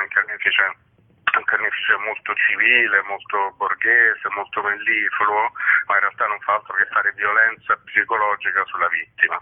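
A man being interviewed as a playwright, speaking haltingly as he thinks aloud. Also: lowish voice. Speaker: playwright, radio interview guest. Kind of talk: spoken interview about a play. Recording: thin phone line on radio.

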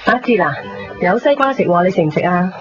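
A woman's voice: lowish voice, expressive, a little fast, natural voice. A woman with a Hong Kong Cantonese accent playing a caring, fussing middle-aged mother in a radio drama. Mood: kindly, chatty. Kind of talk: 1990s radio drama acting. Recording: dull lo-fi radio recording under music.

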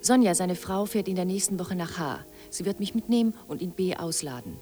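A woman's voice: lowish voice, expressive, a little fast, dark tone, faint music behind, natural voice. An actress playing a disappointed, resolute wife in a radio drama, speaking her letters in an intimate, reproachful, articulate way. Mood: urging.

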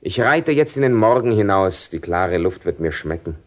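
Cynical seducer nobleman, low, sweeping delivery. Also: lively intonation, normal speed. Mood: detached, exalted. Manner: theatrical, articulate, ironic, declaiming. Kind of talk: 1970 German radio drama acting.

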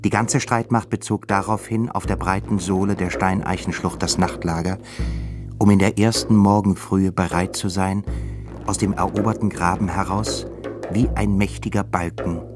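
Male actor as grave storyteller narrating a war epic, lowish voice, music clearly under it, radio drama. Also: steady tone, moderate pace, lightly acted. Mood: grave, dramatic. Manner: solemn, literary delivery. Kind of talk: scripted narration in a German radio play.

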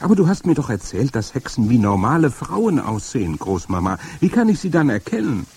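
Storybook: a man voicing a small boy, breathy, high and urgent. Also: sing-song, brisk, a little mellow, heavily acted. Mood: pleading. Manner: pleading, eager questioning. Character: frightened curious seven-year-old boy.

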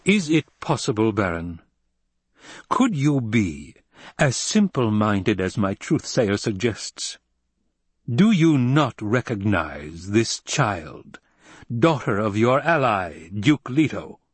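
A man's voice: on the low side, big pitch swings, slow, slightly rough, no echo, heavily acted. A man voicing an imperious, mocking older Emperor in a dramatic audiobook, with a biting, domineering delivery. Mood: condescending, commanding.

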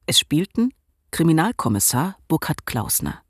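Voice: mid-range voice, big pitch swings, normal speed, husky, no room sound, clean studio sound. An announcer reading the scripted title and credits of a radio play. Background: music.

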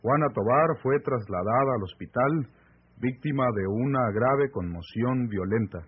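An actor playing a stern, questioning rural man with a Mexican accent, speaking deliberately and emphatically in an old radio serial. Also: mid-range voice, breathy edge. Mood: grave. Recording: noisy, dull vintage AM radio recording.

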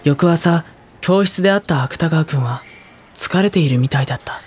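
A performer playing a gentle, hesitant high-school boy who narrates his own story in a Japanese audio drama, with a husky, breathy voice. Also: normal speed, a little hiss or hum, natural voice. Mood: reflective, narrating.

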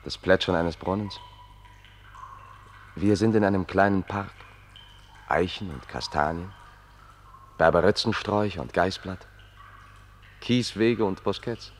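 A very deep-voiced, deferential guide. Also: male voice, unhurried, long pauses, slight background noise. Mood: calm, observant. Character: attentive male guide, quietly describing the world to His Excellency. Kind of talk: German radio play acting.

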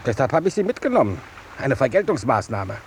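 Officious military captain, male actor, medium pitch, pompous patriot, 1950s radio play with faint background noise. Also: very animated, brisk, natural voice. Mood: pompous indignation. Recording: noisy 1950s radio drama recording.

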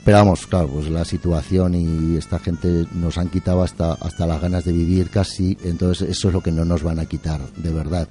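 Deep, mellow man chatting effusively. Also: flat delivery, normal speed, few pauses, soft background music. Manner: effusive, colloquial, rambling. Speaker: interview guest, museum keeper and musician. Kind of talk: casual interview chat.

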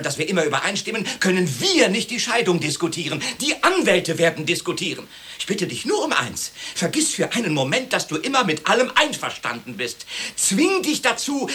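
An actor playing an exasperated, histrionic husband in a theatrical radio comedy. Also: male voice, high voice, sing-song, fairly quick, bright, forceful tone, full character voice. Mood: agitated, arguing. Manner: overwrought, emphatic, swinging delivery.

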